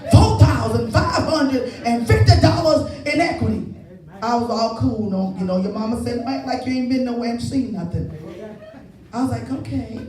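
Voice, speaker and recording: A woman preaching in a conversational storytelling way, giving testimony and urging her listeners to respond. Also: on the high side. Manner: conversational storytelling with exhortations and repeated appeals to listeners. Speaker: church preacher. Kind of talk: testimonial sermon with call and response. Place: large indoor church space. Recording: echoey church hall, distant, music behind.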